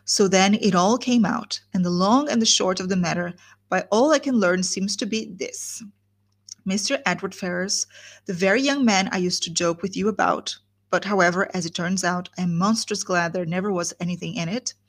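A woman's voice: mid-range voice, normal speed, lightly acted. A woman reading aloud as a gossipy, chattering older woman who breathlessly retells news. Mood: gossiping. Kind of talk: reading a classic novel aloud.

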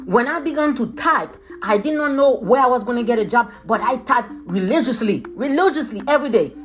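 Haitian-accented woman, motivational podcast host, emphatic and repetitive, slightly high pitch, faint music bed. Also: expressive, fairly quick. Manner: emphatic, repeats phrases, addresses listeners as leaders. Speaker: motivational speaker.

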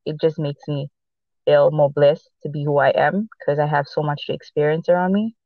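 A woman co-hosting a sports podcast, speaking with a mixed Portuguese, South African and American accent. Portuguese is her first language, and she talks in a halting way with many fillers. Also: sits low, fairly even intonation, no echo, narrow, tinny audio. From mixed upbringing: Boston, Los Angeles and South Africa. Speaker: podcast co-host. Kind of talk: casual sports podcast chat.

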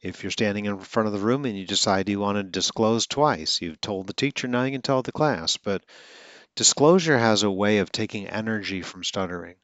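A man's voice: lowish voice, a little fast, fairly bright tone, no echo. A man from Atlanta, Georgia, speaking as a speech coach in a casual, earnest way, with occasional stutters and self-corrections. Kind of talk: casual talk.

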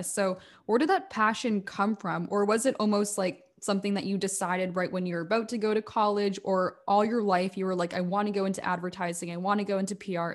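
A woman with a mid-range voice hosts a relaxed interview. She sounds upbeat and encouraging as she asks her guest questions. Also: medium pitch. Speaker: podcast host. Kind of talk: casual interview conversation.

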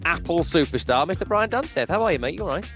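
A man's voice: a little high, expressive, quick pace, a bit bright, soft background music. A man hosting a football podcast, talking in a chatty, upbeat way with many fillers. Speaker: podcast host. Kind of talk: casual podcast chat. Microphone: remote podcast mic.

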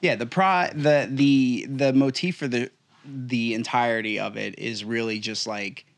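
A man's voice: medium pitch, steady tone, unhurried, gravelly. A man hosting a podcast, explaining his show in a loose, rambling way with many "like"s. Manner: rambling, many fillers and restarts. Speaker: podcast host. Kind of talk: casual interview chat.

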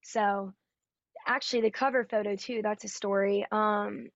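Raspy-voiced woman, chatty and upbeat. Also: medium pitch, steady tone, a little slow, no room sound. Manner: upbeat, chatty, frequent ums and likes. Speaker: guest singer-songwriter. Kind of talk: casual interview chat. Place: Nashville area. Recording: dull, dry lo-fi audio.

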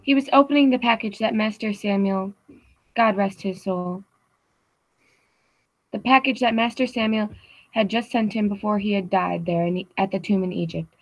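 A woman's voice: mid-range voice, normal speed, no echo, natural voice. A woman playing a deferential, anxious household butler in a 1940s radio drama, formal and hesitant. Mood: shaken. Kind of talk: 1940s old-time radio acting. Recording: slightly dull, dry recording.

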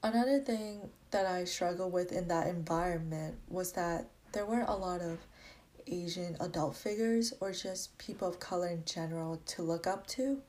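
Young American woman, slightly low voice. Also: warm tone. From grew up in Massachusetts, US, born in Vietnam. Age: young adult. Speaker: college student sharing a personal story. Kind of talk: reflective personal narrative.

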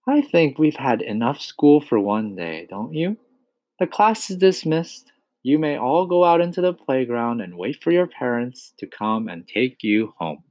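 A man voicing a gentle but firm woman schoolteacher in a storybook, speaking politely and with measured composure. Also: medium pitch, animated, no echo, lightly acted. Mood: gentle, relieved.

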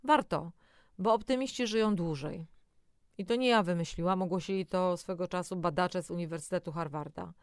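Female co-presenter for health audio: clean, resonant, slightly low voice, scripted yet chatty explanations. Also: brisk, clean tone, no echo, studio quality. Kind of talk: scripted educational narration with conversational asides.

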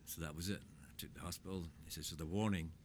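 An older man telling his life story in a casual chat, rambling through animated anecdotes with plenty of swearing. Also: deep voice, quick pace, noisy background.

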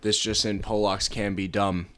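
A man's voice: lowish voice, flat delivery, moderate pace, a bit bright. A young man in his twenties from Pittsburgh, co-hosting a casual podcast from an attic, joking in a blunt, crude way. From Pittsburgh, Pennsylvania. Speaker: podcast co-host. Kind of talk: casual chat.

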